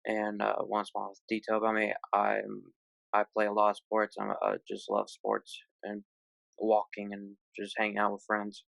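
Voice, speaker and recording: Flat, low, gravelly-edged young-sounding male guest. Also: normal speed, no room sound, low-quality recording. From Colorado, United States. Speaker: tournament player, panel guest. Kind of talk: casual chat.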